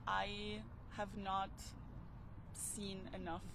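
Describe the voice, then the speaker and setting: A woman's voice: mid-range voice, monotone, drawn-out words, gravelly, slight background noise, poor audio. Laughing woman with an Austrian accent. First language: German. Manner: laughs often, warm and open. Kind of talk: street interview. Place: outdoors at a city waterfront park.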